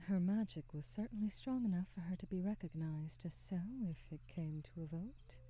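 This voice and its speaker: Wistful, gentle witch for ASMR roleplay, female performer: lowish, very soft and dark, breathy whispering, motherly, old-fashioned wording. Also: lightly acted. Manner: slow, tender, old-fashioned formal phrasing.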